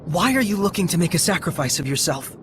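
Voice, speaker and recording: An actor playing an earnest, compassionate young hero in a video game, with a persuasive way of speaking. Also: male voice, sits high, big pitch swings, quick pace, background noise. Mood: alarmed. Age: young adult. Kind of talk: dramatic video game voice acting. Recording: music behind the voice.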